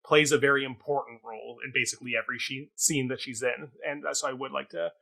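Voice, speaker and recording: A man's voice: mid-range voice, fairly even intonation, quick pace, gravelly, sharp tone. A man giving a casual review as a talk show co-host, in a hesitant, rambling, conversational way.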